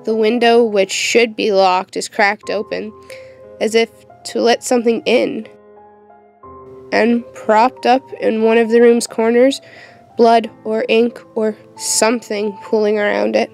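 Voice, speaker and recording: An actress playing a defiant, sharp-tongued teenage witch in an audio horror drama, intense and dramatic. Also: fairly high pitch, little pitch movement, a little slow, gravelly, soft background music. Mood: tense, haunted.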